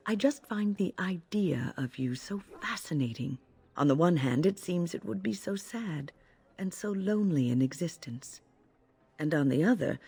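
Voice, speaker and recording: A woman's voice: low-pitched, warm tone, slightly rough, full character voice. An actress playing a polite, curious woman companion in a fantasy game, speaking earnestly and courteously. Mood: apologetic, curious.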